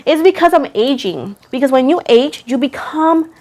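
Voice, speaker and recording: A woman's voice: high-pitched, expressive, moderate pace, a little mellow. A woman giving a casual, chatty tarot reading, rambling in a self-deprecating way and repeating phrases for emphasis. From Puerto Rico. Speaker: tarot reader, host.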